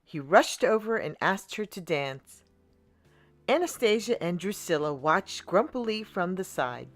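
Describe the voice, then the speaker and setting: Slow-paced woman reading a children's story. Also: on the low side, very animated. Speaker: children's storybook reader and channel host. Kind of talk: expressive read-aloud storytelling for children.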